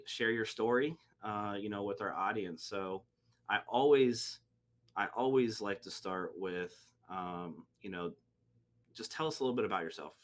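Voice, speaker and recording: A man hosting a podcast, chatting casually and rambling with many fillers and restarts. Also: on the low side. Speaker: podcast host. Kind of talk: casual interview chat.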